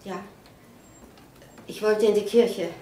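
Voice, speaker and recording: An actress playing an evasive, subdued housewife in a radio crime drama. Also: female voice, medium pitch, steady tone, slow, warm tone, close to an everyday voice. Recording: noisy old radio drama.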